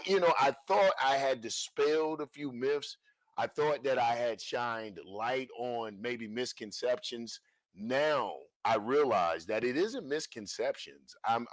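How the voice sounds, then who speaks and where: A man's voice: medium pitch, measured pace, no room sound, low-quality recording. Slangy, emphatic male livestream host. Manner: slangy, emphatic, repeats phrases. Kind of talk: casual talk-show monologue.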